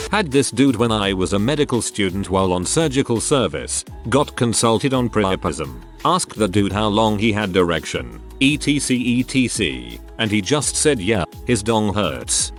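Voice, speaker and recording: Guy reading reddit stories, lowish voice that swings up and down a lot, soft music behind. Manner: reads each post aloud in turn. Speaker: narrator reading forum posts. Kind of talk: scripted narration. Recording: faint background music.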